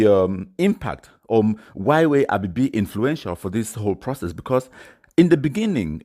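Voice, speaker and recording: A man's voice: mid-range voice, a little mellow. A man with a Cameroonian accent giving informal, emphatic storytelling commentary, repeating phrases for stress. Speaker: online commentator. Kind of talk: informal storytelling commentary in Pidgin mixed with English.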